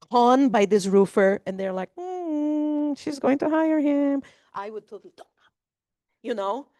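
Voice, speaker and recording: A woman's voice: medium pitch, dark tone, dry recording, clean studio sound. A woman on a real estate panel who flips houses and speaks candidly and emphatically. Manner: candid, emphatic, community-minded. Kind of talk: seminar panel talk.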